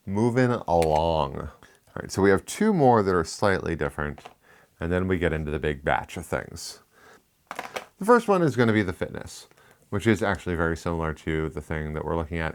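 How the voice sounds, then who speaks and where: A man with a noticeably low voice and a lisp talks through a casual product review. He rambles freely and drifts into side remarks as he goes. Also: slight background noise. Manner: chatty, rambling, with asides. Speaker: tech reviewer, channel host.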